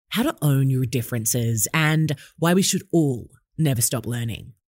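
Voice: medium pitch, no room sound. Upbeat podcast host, dry close mic. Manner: upbeat, effusive. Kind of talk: scripted intro then interview questions.

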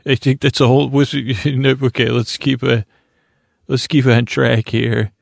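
Male podcast host, creaky soothing voice, meandering bedtime monologue, halting phrases, dry close sound. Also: mid-range voice, flat delivery, no room sound. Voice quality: creaky, lulling. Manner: meandering, halting, repeats himself.

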